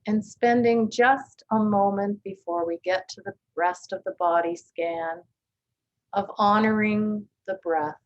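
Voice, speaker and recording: A woman leading a guided body scan meditation, speaking gently and calmly with long, unhurried pauses. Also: medium pitch, highs cut off. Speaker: meditation teacher.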